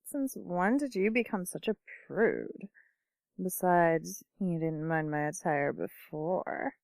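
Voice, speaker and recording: A woman's voice: fairly low pitch, expressive, slow, gravelly, darkish tone, no echo, heavily acted. A woman playing a brazen, flirtatious, lazy neighbour who teases, in an amateur audio drama. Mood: teasing.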